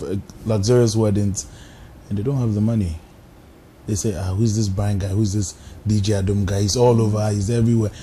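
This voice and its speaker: A man's voice: on the low side, mellow, slight background noise. A man who works as a DJ, a guest on a talk show, talking earnestly and restarting often. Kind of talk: casual interview talk. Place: TV studio.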